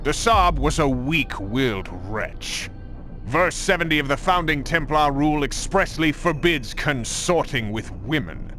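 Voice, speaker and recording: An actor playing a pompous, menacing Templar commander in a video game, scornful and theatrical with formal oratory. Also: male voice, a little high, animated, unhurried, pushed and bright, faint music behind. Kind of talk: dramatic video game cutscene acting.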